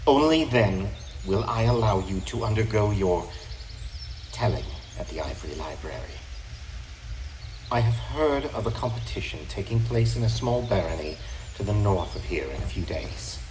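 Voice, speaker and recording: Audio drama lord, male actor: low, menacing, contemptuous, formal delivery, noisy roomy track. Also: lively intonation, natural voice. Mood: grave, commanding. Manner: formal, measured, condescending. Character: imperious, menacing elven lord, stern and contemptuous.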